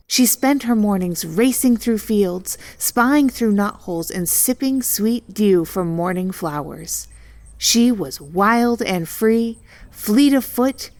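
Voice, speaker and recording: Slow-paced woman telling a children's story. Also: mid-range voice. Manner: deliberate, expressive storytelling. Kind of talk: scripted children's story narration.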